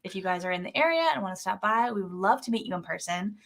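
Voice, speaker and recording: Woman, bright tense voice, candid earnest chat, livestream co-host. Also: medium pitch, lively intonation, fast, gravelly. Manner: candid and earnest, a little self-conscious.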